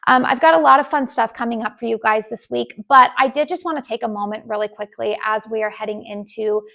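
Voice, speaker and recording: Raspy woman chatting about candles. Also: fairly high pitch, steady tone, brisk, pushed and bright. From United States. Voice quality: raspy, losing her voice. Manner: chatty, many ums and restarts. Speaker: candle channel host. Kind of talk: casual chat to camera.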